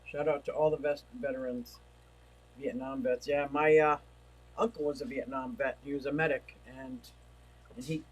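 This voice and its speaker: A man's voice: medium pitch, normal speed, low-quality recording. A man hosting a casual livestream, chatting and reading viewer comments aloud, feeling like he is losing his voice. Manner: rambling, chatty, reads chat messages aloud. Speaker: livestream host. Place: home.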